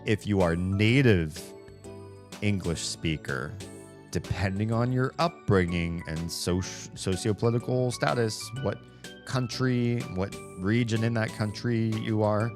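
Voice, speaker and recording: Deep-voiced Southern US man rambling. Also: unhurried. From Southern United States. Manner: rambling, self-interrupting, wry. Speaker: streamer. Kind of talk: casual livestream chat while gaming. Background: background music.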